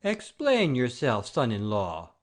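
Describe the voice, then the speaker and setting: A man's voice: mid-range voice, huge pitch swings, takes it slowly. An actor playing a proud, blustering baron and father-in-law in a theatrical comedy audio play, pompous and declamatory. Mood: stern, grave. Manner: pompous, oath-swearing, declamatory.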